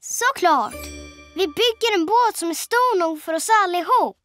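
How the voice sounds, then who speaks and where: A woman voicing an eager little baby-doll girl who loves poems, in a cartoon dub over music. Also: expressive. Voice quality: high childlike voice.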